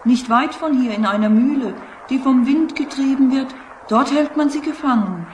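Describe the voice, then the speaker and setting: A woman playing a magical talking boomerang in a children's radio play, a dutiful servant who speaks in solemn theatrical rhyme. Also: normal speed, darkish tone, natural voice. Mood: dramatic, eerie. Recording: echo effect with faint noise.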